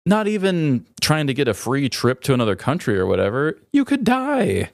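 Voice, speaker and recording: A man's voice: on the high side, very animated, normal speed, vocal fry, no room sound, studio quality. A man co-hosting a podcast, musing aloud in informal, slangy conversation. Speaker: podcast co-host. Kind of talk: casual, conversational commentary.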